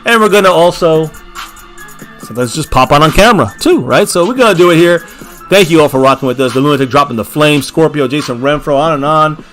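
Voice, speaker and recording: Fan stream host, man, slightly high voice, upbeat catchphrase sign-off, faint music behind. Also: fast, a bit bright. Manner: hyped, repeats catchphrases, calls viewers baby. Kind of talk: casual livestream sign-off with shout-outs. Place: small home bar setup.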